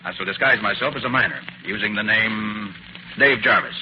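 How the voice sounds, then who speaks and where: An actor playing a confident, unflappable master detective in an old-time radio drama, brisk, clipped and self-assured. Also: male voice, on the low side, natural voice. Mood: cool, resolute. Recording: noisy, muffled old radio recording.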